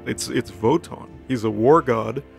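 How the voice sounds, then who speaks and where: A man with a creaky, gravelly voice tells a comic story off the cuff. He rambles along in mock-serious deadpan at a middle pitch, with music playing behind him. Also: a little slow. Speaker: co-host retelling a story recap. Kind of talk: casual comedic storytelling, improvised dialogue.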